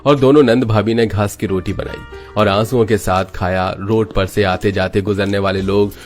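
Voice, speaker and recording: A man's voice: lowish voice, steady tone, moderate pace, soft background music. A man narrating a scripted, dramatic moral story as its storyteller.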